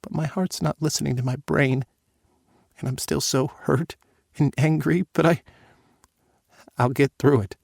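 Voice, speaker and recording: A man voicing a tearful, apologetic young adult daughter, speaking in a soft, shaky, pleading way. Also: on the high side, fairly even intonation, measured pace, vocal fry, long pauses, dry recording, clean studio sound, voice pushed up.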